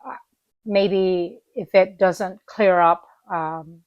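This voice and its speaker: A woman's voice: lowish voice, steady tone, very slow, no echo, highs cut off. A woman in her forties vlogging from her small living room with a laptop camera, chatting in a rambling way with fillers as her voice comes back after illness. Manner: rambling, many fillers and restarts. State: recovering voice, coughing. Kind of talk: unscripted morning vlog chat.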